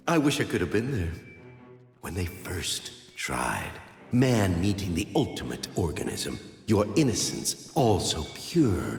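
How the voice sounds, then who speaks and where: An actor playing a deranged, theatrical cult zealot in a video game, mocking and playful, lurching between murmurs and shrieks. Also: male voice, deep voice, gravelly, exaggerated voice. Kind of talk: video game cutscene acting. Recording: echoey hall with long reverb. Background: music.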